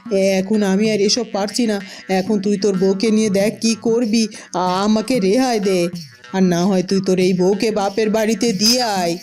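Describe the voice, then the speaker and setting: A woman's voice: mid-range voice, fairly even intonation, moderate pace, a little mellow, soft background music, close to an everyday voice. A woman voicing a dramatic, middle-aged village mother-in-law in a cartoon. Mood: exasperated. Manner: scolding, dramatic lamenting. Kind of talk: Bengali cartoon voice acting.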